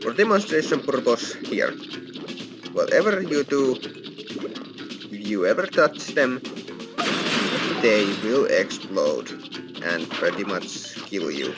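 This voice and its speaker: A man hosts a casual let's play, explaining as he goes in non-native phrasing. His voice is low and unhurried, with clear game music behind it. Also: big pitch swings, rough recording. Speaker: gaming channel host. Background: video game music.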